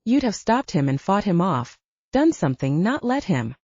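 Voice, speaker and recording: A woman's voice: medium pitch, sing-song, normal speed, darkish tone, husky, no echo. A woman voicing a tough but distressed young female soldier in an audiobook, demanding and emotional, cursing as she talks. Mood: distressed, angry. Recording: dull lo-fi audio, dry.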